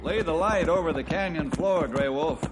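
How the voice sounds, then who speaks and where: An actor playing a brisk, capable forest ranger in an old radio drama, speaking in a commanding way. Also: male voice, a little high, loud music behind the voice, lightly acted. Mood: steady. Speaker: ranger hero. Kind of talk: 1950s radio drama acting. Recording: noisy old radio recording.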